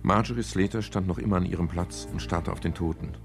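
An actor playing a sober, composed private detective in a radio crime drama, speaking in a measured, matter-of-fact way. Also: male voice, low-pitched, vocal fry, soft background music. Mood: sober narrating. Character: male private detective narrating his case, sober and composed. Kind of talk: 1970s German radio crime drama, narration and dialogue.

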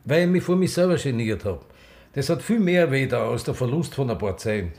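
Defiant older Austrian man, animated. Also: medium pitch, a little fast, no room sound. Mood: bitter, emphatic. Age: 70s. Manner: frank, theatrical. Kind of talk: radio drama, intimate storytelling.

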